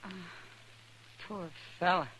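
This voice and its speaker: A woman's voice: slow, a bit bright. An actress playing a friendly, sympathetic American saloon woman in a 1950s radio western. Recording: noisy vintage 1950s radio recording.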